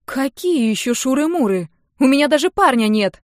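A woman's voice: fairly high pitch, expressive, normal speed, no echo, studio quality, voice pushed up, close to an everyday voice. A woman voicing an indignant, combative young woman who quarrels with her family in exasperated exclamations, in an audiobook.

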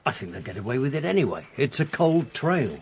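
A man's voice: medium pitch, very animated, fairly quick, slightly rough, heavily acted. An actor playing a weary, sceptical male police superintendent in a radio drama, dry and dismissive.

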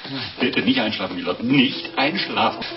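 A man's voice: medium pitch, sing-song, normal speed, vocal fry. A man playing an anxious, wheedling monk in a comic radio play, fussily polite and given to stammering. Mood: anxious, pleading. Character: anxious, wheedling male monk of a begging order. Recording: dull lo-fi radio recording, music behind.

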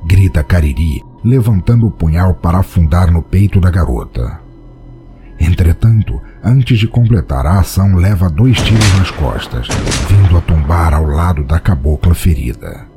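A male narrator tells a dramatic story in a Brazilian accent. His voice is very deep and soft-toned, with lively swings of intonation, and music plays behind it. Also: moderate pace.

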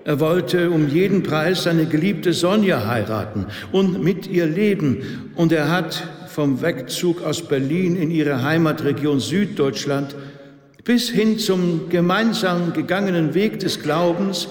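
Actor as a slow, solemn rabbi in echoing hall. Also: male voice, fairly high pitch, natural voice. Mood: solemn, mournful. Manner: measured, deliberate, solemn. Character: grave, tender male rabbi giving a funeral eulogy. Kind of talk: solemn eulogy in a radio play. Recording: echoey hall with long reverb.

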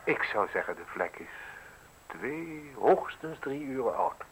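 A man's voice: mid-range voice, big pitch swings, measured pace, fairly bright tone, slight background noise, poor audio. A man acting a ruthless, mocking journalist in a radio play, articulate and insinuating as he interrogates. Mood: menacing, mocking. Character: probing, mocking, ruthless male newspaper journalist needling an official.

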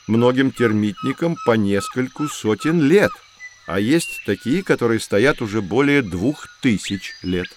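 A man playing a knowledgeable guide who teaches children in a didactic, expressive way in an educational audio drama. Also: mid-range voice, measured pace, slight background noise, lightly acted. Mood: explanatory.

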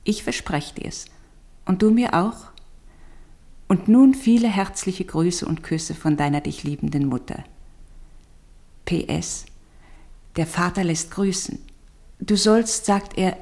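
Brave sad mum reading a letter to her boy, lowish tender voice, radio play. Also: darkish tone. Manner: tender, earnest. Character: loving, brave, sorrowful mother of a schoolboy.

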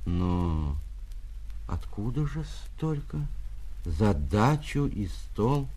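A man's voice: lowish voice, very animated, drawn-out words, dark tone, full character voice. An actor playing a sentimental male lodger who gets fussy and flustered over money in an audio story. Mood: flustered disbelief.

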